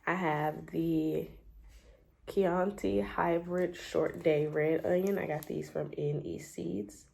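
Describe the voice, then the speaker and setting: Stuffy-voiced woman, low and gravelly. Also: fairly even intonation, slow pace, a little mellow. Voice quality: frequent ums and trailing off. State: stuffy and scratchy from a cold. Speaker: homestead gardening vlogger. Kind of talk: casual chatty show-and-tell. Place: home, at a table.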